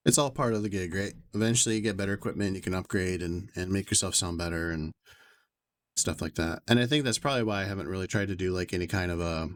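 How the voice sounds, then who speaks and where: A man streaming a game from his bedroom, chatting to his viewers in long, rambling asides in an upper bass voice, through a desk microphone with noise suppression. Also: fast talker, no room sound. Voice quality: upper bass to lower tenor range. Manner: rambling, talks to chat in long winding asides. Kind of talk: casual chat while streaming a game. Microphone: desk USB condenser mic with noise suppression.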